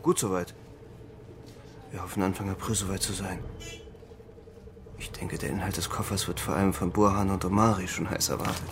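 A man's voice: deep voice, level tone, fairly quick, pauses often, background noise. An actor playing an uneasy male double agent in a crime radio drama, with an understated, guarded delivery. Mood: nervous, defensive. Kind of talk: German crime radio drama, narration and dialogue.

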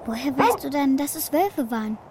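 A woman's voice: high-pitched, expressive, moderate pace, a little mellow, a little hiss or hum. An actress playing a young steppe girl in a children's radio drama, full of longing and pressing, eager questions. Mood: anxious, curious.

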